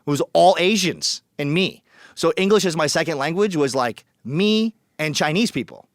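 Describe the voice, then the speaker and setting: A man's voice: on the high side, expressive, moderate pace, no room sound, studio quality. A man from Colombia telling his story as a podcast guest, blunt and joking, acting out the people he quotes. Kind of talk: casual storytelling.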